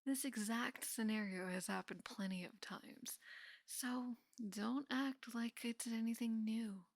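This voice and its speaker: A young woman playing a teasing, affectionate college best friend in an intimate roleplay audio, speaking softly and often slipping into whispers. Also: a little high, dry recording, clean studio sound. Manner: soft, often dropping into whispers, sighing. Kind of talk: intimate roleplay audio acting, casual and soft.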